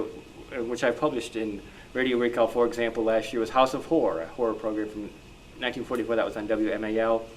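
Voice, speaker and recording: A man speaking informally as a researcher and panel guest at a club meeting. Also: mid-range voice. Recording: clearly noisy live room.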